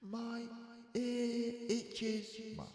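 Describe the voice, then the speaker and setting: High, whiny little badger cub. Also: male voice, level tone, darkish tone, echoey room, long reverb tail, exaggerated voice. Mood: fretful, pleading. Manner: drawn-out pleading. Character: small whiny reluctant badger cub boy.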